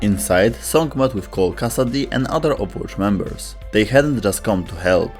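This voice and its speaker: A man's voice: lowish voice, expressive, normal speed, faint music behind. A man reading scripted narration as a video host.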